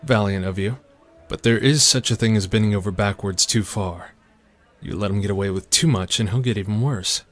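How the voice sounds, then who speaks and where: A man's voice: on the low side, a little fast, a bit bright, faint music behind, natural voice. A man voicing a cocky young college student with a dry, teasing manner, in a comic dub. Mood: smug. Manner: smug, teasing, laid-back.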